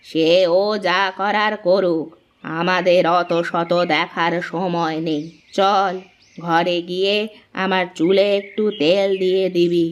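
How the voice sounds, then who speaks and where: A woman's voice: fairly low pitch, fairly even intonation, takes it slowly, highs cut off. A woman playing a bossy, biased old mother-in-law in a cartoon, imperious and blunt in manner. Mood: indulgent. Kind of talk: cartoon family drama acting.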